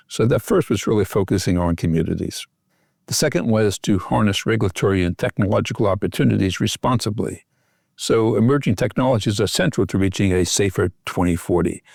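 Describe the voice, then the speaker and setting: A man giving a measured, methodical explanation as an expert guest in an interview. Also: deep voice, creaky, darkish tone, dry recording, clean studio sound. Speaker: expert guest, physician researcher.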